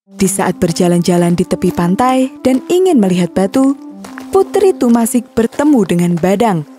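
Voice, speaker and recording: Animated, mellow woman storyteller. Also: mid-range voice, moderate pace, soft background music. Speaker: storyteller, narrator. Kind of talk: scripted children's story narration.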